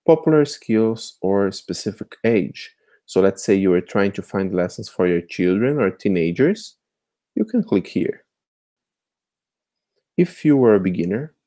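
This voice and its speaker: A man whose first language is Portuguese, talking through an online tutorial in a relaxed, patient way and often checking in with the listener. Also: lowish voice. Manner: conversational, keeps checking in with "okay?". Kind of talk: screen-share tutorial walkthrough.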